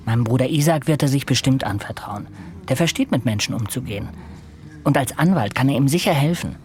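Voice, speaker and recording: A man's voice: mid-range voice, animated, a little fast, darkish tone, natural voice. An actor playing an earnest, puzzled young man, a loyal student in a radio play. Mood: puzzled, concerned. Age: young adult.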